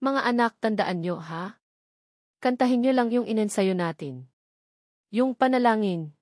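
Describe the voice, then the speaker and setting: A woman voicing an anxious, gentle young single mother in a narrated story, hesitant and soft-hearted. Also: fairly high pitch, expressive, a little slow, no echo, clean studio sound. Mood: nervous, reassuring.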